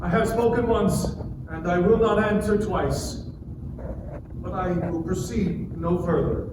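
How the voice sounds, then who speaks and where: Repentant, suffering servant of God, played by a man: slow, solemn, higher male voice, scripture drama in a very echoey hall. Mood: humbled. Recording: echoey hall, distant mic, background noise.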